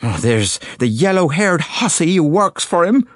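A man's voice: fairly high pitch, very animated, moderate pace, darkish tone, no room sound, studio quality, voice pushed up. A man reading an audiobook, voicing an anxious, indignant middle-aged woman who talks in a prim, complaining way. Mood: fretful. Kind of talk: audiobook character voice.

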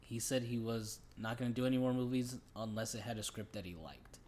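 A man's voice: medium pitch, a little fast, darkish tone. A man co-hosting a casual movie review podcast, chatting in an easygoing, agreeable way. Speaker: podcast co-host.

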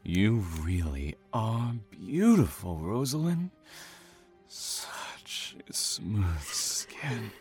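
Sadistic male killer, thriller drama: unhurried, swooping delivery, mock-polite menace. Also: lowish voice, big pitch swings, darkish tone, faint music behind, exaggerated voice. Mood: sinister relish. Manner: slow, taunting, mock-polite. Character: sadistic serial killer father, menacing and falsely tender. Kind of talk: audio thriller drama acting.

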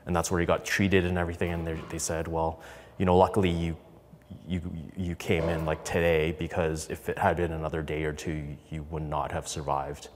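Podcast guest: man, thirties, low voice, conversational storyteller, long reverb. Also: little pitch movement, fairly quick, slight background noise. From Canada, raised in Calgary from infancy. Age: 30s. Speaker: podcast guest, business co-founder. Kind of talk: candid personal storytelling in an interview.

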